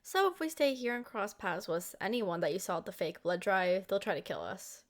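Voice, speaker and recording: A woman's voice: mid-range voice, lively intonation, normal speed, lightly acted. A woman voicing a centuries-old, doting and possessive vampire girlfriend in an intimate, coaxing ASMR roleplay. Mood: urgent, apologetic. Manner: coaxing, affectionate, speaking directly to a lover.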